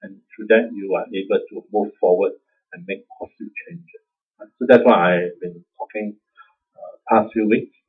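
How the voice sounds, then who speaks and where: A man's voice: fairly low pitch, normal speed, slightly rough. A man with a Singaporean accent giving an informal Buddhist dharma talk, conversational and self-deprecating, chuckling often. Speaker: Buddhist teacher. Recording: dull lo-fi recording.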